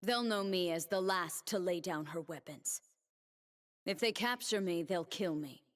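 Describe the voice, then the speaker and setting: An actress playing a solemn, determined young woman warrior in a video game, speaking in a measured, earnest, resolute way. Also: lowish voice, close to an everyday voice. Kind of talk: scripted video game character voice lines.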